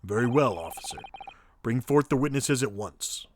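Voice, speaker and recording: A man voicing a stern courtroom judge in a game dub, measured and commanding. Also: mid-range voice, lively intonation, a little hiss or hum, close to an everyday voice. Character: stern, formal male judge.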